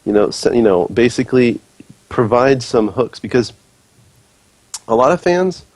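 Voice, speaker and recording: A man's voice: medium pitch, expressive, a little slow, a little mellow, no room sound, low-quality recording. A man speaking earnestly and persuasively on a casual podcast, with many fillers. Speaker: podcast guest, league executive.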